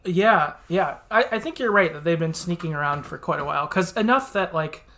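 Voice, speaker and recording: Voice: mid-range voice, animated, fairly quick, sharp tone. A podcast host talking casually and informally, retelling a story in a conversational way with plenty of hedging.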